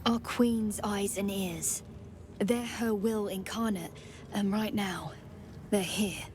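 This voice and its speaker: A woman voicing an anxious, fearful young alien soldier with halting speech in a fantasy game. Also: mid-range voice, fairly even intonation, background noise, lightly acted. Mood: fearful, urgent.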